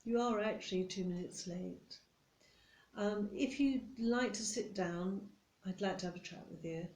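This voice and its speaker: A British woman playing a stern, condescending, nitpicking office boss in a deliberate ASMR roleplay, speaking straight to the listener. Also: mid-range voice, a little mellow, natural voice. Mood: stern, disapproving. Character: strict, nitpicking female office boss telling off a new employee. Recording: roomy echo.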